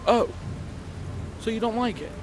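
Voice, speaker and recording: A man's voice: very high-pitched, measured pace, background noise. A man playing an eager, ingratiating property salesman in a radio drama, chatty and oily. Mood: let down.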